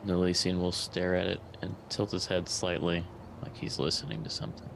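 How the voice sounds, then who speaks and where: A man's voice: sits low, little pitch movement, brisk, creaky, background noise. A man playing a tabletop game, briefly describing what his character does.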